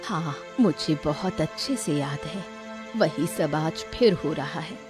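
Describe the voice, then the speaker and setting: A woman voicing an anxious, protective wife and mother in a cartoon story, urgent and expressive. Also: deep voice, slow, over music. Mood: worried, tender. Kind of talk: animated moral story dramatization.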